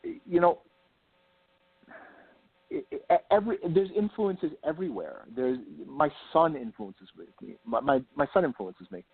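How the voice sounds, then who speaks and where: A man speaking as an author guest in a casual interview, enthusiastic and rambling, repeating words for emphasis, with a slightly husky voice. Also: fairly high pitch, sing-song, unhurried. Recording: lo-fi phone-like line, roomy echo.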